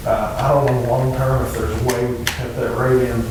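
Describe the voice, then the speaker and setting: A middle-aged man with an East Tennessee accent, giving an informal report at a meeting in a halting, matter-of-fact way. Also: mid-range voice, flat delivery. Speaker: county emergency services official. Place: echoey indoor meeting room. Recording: very echoey, noisy room, distant microphone.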